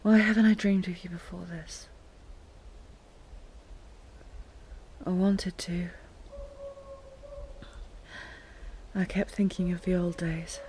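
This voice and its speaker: A woman playing a wounded, delirious captive queen in an intimate audio roleplay, breathy and often whispering, halting and lovelorn as if half-dreaming. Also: lowish voice, fairly even intonation, darkish tone, slight background noise, natural voice. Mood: wistful.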